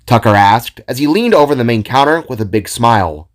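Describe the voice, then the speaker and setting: Male narrator, lively and expressive scripted storytelling, medium pitch, faint music bed. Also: a little fast. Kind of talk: scripted audio drama narration. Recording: music behind the voice.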